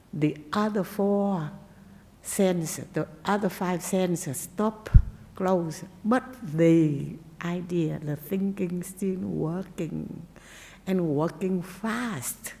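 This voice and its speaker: A woman Buddhist nun, not a native English speaker, giving a gentle dharma talk with simple phrasing and pauses. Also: fairly low pitch, long reverb tail. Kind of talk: dharma talk and meditation teaching.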